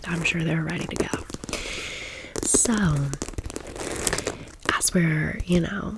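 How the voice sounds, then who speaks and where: A woman's voice: low voice, background noise. A woman in her thirties whispering a casual ASMR storytime in chatty, slangy millennial phrasing. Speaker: ASMR creator.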